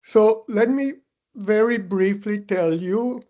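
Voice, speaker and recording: Unhurried older man. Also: sits high, warm tone, highs cut off. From Cuba. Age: 70s or older. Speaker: podcast co-host. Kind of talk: conversational podcast discussion.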